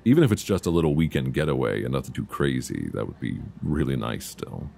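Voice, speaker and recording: A man playing an affectionate, reassuring boyfriend in an intimate, casual ASMR roleplay, speaking softly and soothingly close to a binaural mic. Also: rumbling low, big pitch swings, gravelly, exaggerated voice. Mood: affectionate, relaxed. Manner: soft, intimate, unhurried, soothing. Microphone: close binaural microphone. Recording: faint background noise, binaural.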